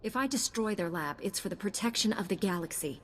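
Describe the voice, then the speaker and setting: A woman's voice: mid-range voice, animated, fairly quick, slight background noise, lightly acted. A woman playing a principled young Jedi knight in a video game, speaking firmly and resolutely. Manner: firm, resolute. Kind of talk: video game cutscene dialogue. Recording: long reverb, clear background ambience.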